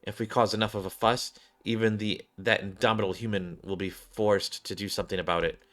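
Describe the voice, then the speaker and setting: A man's voice: on the low side, flat delivery, a little fast, fairly bright tone, lightly acted. A man voicing a grim, weary woman soldier with a serious, formal manner in a sci-fi game. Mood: grave.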